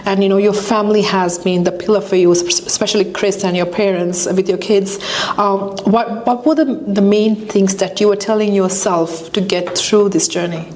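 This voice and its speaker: A woman from Sri Lanka hosts a talk show interview at a middle pitch. She asks her questions encouragingly, with plenty of fillers and restarts. A faint background noise sits under her voice. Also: fairly even intonation, moderate pace, darkish tone. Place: Canada.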